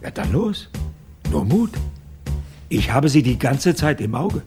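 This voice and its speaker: An actor playing a wry older amateur private detective in a radio crime comedy. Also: male voice, medium pitch, very animated, takes it slowly, music in the background. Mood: polite, wry. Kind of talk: radio drama acting.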